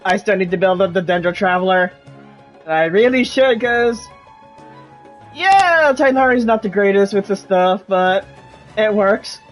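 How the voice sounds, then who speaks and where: A woman streams a video game with casual, off-the-cuff commentary. Her voice sits at a medium pitch, and faint game music plays behind her. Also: lively intonation, measured pace.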